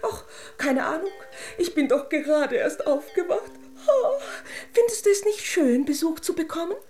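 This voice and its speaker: An actress playing a haughty, imperious princess doll come to life in a children's radio drama. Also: female voice, very high voice, lively intonation, moderate pace, a little mellow, roomy sound, soft background music. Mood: chatty, self-important. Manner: imperious, grandiose, easily indignant.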